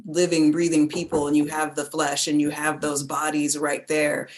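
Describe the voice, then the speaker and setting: A woman talking informally and reflectively as a performer on a panel, searching for words in an animated way. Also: sits low, normal speed.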